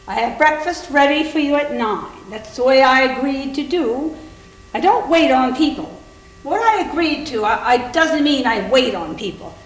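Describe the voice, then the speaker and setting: A woman performing a cold, rigid housekeeper who recites stiff, repetitive warnings in a staged readers theater piece. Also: high voice. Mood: cold, stern. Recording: roomy, echoing hall with hum.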